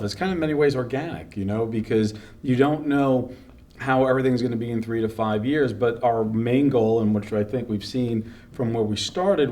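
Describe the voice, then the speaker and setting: A man's voice: medium pitch, a little mellow. Male co-organizer, mid-range voice, earnest and rambling in casual interview, mic a little distant. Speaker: festival co-organizer.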